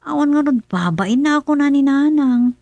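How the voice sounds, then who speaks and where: A woman's voice: high voice, lively intonation, normal speed, warm tone, no echo. A woman playing a concerned family member in a radio drama comedy, with an Ilocano accent.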